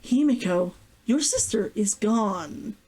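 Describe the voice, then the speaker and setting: Woman as storybook male healer, exasperated, firm, short-tempered, highly animated delivery. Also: slow, slightly rough, low-quality recording. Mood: firm, annoyed.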